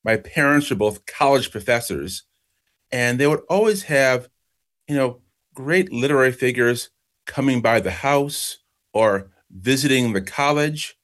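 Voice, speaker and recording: A middle-aged man from Jamaica, raised in New York state, answering interview questions about his book in a reflective, measured way. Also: mid-range voice, animated, fairly bright tone. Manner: reflective, measured, slows for emphasis. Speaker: author.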